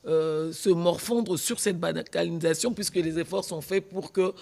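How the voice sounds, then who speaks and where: High, creaky Congolese host. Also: male voice, steady tone, a little slow, a little mellow. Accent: Congolese French. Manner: interjects often with agreement. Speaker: talk show host. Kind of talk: conversational political interviewing.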